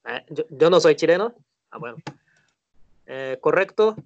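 Man, class helper, hesitant, repeats himself, slightly high voice, muffled audio. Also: animated, a little slow, creaky. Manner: hesitant, answers with fillers and repeats phrases. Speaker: native-speaker guest in class.

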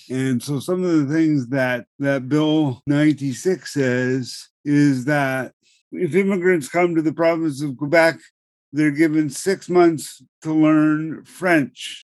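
A middle-aged man on a podcast, explaining legal matters in a measured way and repeating words as he starts each thought. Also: on the high side, fairly even intonation, darkish tone, no echo. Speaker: podcast co-host explaining law. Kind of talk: explanatory conversational chat.

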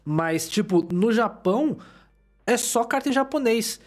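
A man hosting a casual podcast chat in a relaxed, chatty way. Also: very high voice, a little slow, faint music behind. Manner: relaxed, chatty, uses "tipo" and "sabe".

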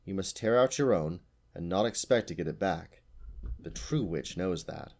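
A man's voice: low-pitched, expressive, moderate pace, creaky, darkish tone, exaggerated voice. Man voicing a solemn unicorn. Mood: grave. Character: proud, gentle female unicorn, calm and grave.

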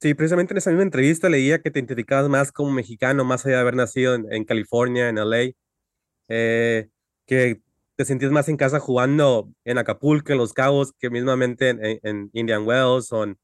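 A man's voice: mid-range voice, steady tone, a little fast, dry recording, studio quality. A man hosting an interview, asking polite, lightly formal questions with occasional fillers. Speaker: interviewer, host.

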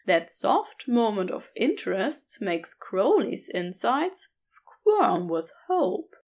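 A woman narrating a scripted story in an expressive, measured storytelling manner. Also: fairly high pitch. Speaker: podfic narrator.

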